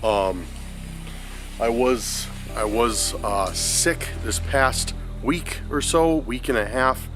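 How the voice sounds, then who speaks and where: A man's voice: medium pitch, slow pace, over music. A man with a congested voice, talking casually to his viewers outdoors in a forest, rambling with many fillers and restarts. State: congested from a cold. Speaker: paranormal vlogger. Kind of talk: casual vlog talk to camera.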